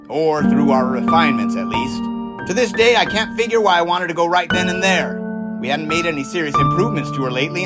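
A man plays a daring American aviator from a 1920s audio drama. His voice sits high and swings in lively arcs. He is folksy, cheerful and fond of teasing, with music underneath. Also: close to an everyday voice. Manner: jaunty, folksy, enthusiastic. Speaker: pilot character in an audio drama. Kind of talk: period audio drama acting.

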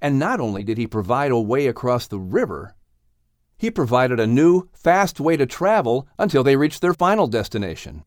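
A man's voice: mid-range voice, very animated, normal speed, no room sound, studio quality. A man reading scripted narration for a children's audiobook.

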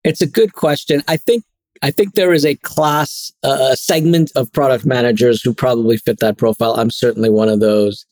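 A man's voice: medium pitch, fairly even intonation, darkish tone, no room sound. Thoughtful man from Trinidad, dry sound. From Trinidad and Tobago. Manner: thoughtful, self-deprecating, measured. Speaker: guest, product executive. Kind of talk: casual interview chat.

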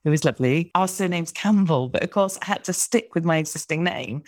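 A woman hosting an interview podcast, friendly and quick, chatting with her guest. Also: fairly low pitch, no echo, studio quality. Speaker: podcast host. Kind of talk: conversational interview.

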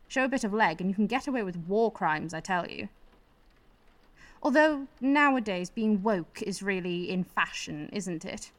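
A woman's voice: medium pitch, a bit bright, dry recording, natural voice. A woman acting in a radio play as a bitter, scheming politician's wife, sardonic, exasperated and foul-mouthed.

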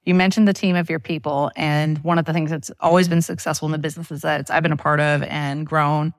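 An interview host sharing their own business story and asking follow-up questions with quick praise. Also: medium pitch, little pitch movement, no echo. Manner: enthusiastic, quick to praise and laugh along. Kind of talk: casual interview chat.

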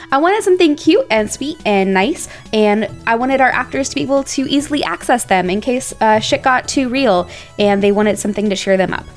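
A woman narrates a podcast in an informal, playful manner. Her pitch sits in the middle for a woman. Light music plays beneath her voice. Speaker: podcast co-host, audio drama maker.

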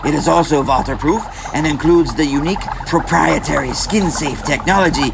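A man plays a fussy, eager salesman with a highish, gravelly voice. He pitches in grand, formal, slightly clumsy phrases for a comic advertisement, over clear background noise. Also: normal speed. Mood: grandly enthusiastic. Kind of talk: comic scripted ad read in character.

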